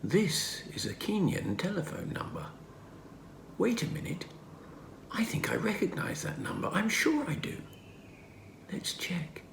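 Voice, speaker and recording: A man's voice: high-pitched, wildly swooping pitch, measured pace, gravelly, pauses often, whispered, pitched above the performer's own voice, full character voice. A man voicing a helpful, puzzled father in a children's storybook, musing as he talks. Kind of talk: children's storybook character reading. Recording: roomy home recording, faint noise.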